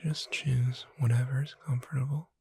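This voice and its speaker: A woman playing a gentle witch bookseller in a breathy, soothing, whispered ASMR sleep roleplay. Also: extremely low pitch, fairly even intonation, full character voice. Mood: calm, soothing. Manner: slow, soothing, deliberate. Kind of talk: soft-spoken ASMR sleep roleplay, guided relaxation.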